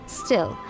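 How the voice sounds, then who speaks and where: A woman voicing a composed, teasing female yokai shrine guardian in a video game, speaking in a measured, nonchalant, lecturing way. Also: rough recording.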